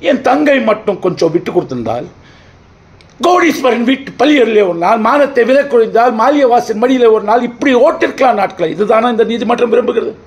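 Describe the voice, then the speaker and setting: A man playing a wronged, defiant accused brother pleading his case in court, declaiming in theatrical old Tamil film oratory with dramatic pauses. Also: extremely high pitch, lively intonation. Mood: indignant.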